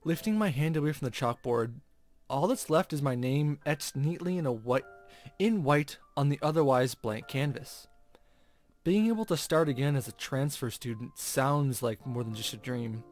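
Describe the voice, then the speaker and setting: A man's voice: mid-range voice, expressive, normal speed, a little mellow. A man hosting a let's play, chatting casually with rambling asides and reading game text aloud. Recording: music playing behind the voice. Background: video game background music.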